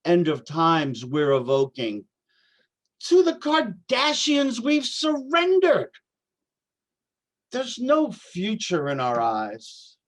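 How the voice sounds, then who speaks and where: Man acting high-voiced, troubled street prophet. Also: wildly swooping pitch, full character voice. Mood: fervent reciting. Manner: halting, repetitive, dramatic. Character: troubled homeless young man, paranoid doomsday poet-prophet. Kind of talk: staged play reading, reciting verse.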